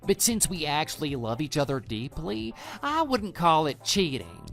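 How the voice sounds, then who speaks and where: A man's voice: a little high, big pitch swings, moderate pace, gravelly, a bit bright, faint music behind, heavily acted. A man playing a smug, brazen lover who talks down to a betrayed husband in an audio drama. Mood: gloating. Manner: smug, condescending, dismissive. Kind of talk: scripted texting-story radio drama.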